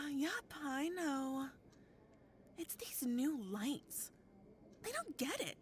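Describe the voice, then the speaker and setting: A woman's voice: high voice, big pitch swings, extremely slow, slight background noise, full character voice. A woman playing a languid, teasing female companion in an audio drama, with a knowing drawl. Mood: wry, resigned.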